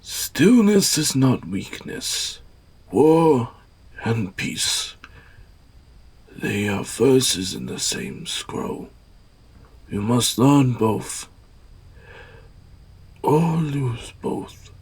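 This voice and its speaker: Man playing very slow, husky dojo master. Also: mid-range voice, very animated, darkish tone, heavily acted. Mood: stern, solemn. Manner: solemn, measured, proverbial. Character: stern, wise father and dojo master. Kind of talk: dramatic audio drama acting.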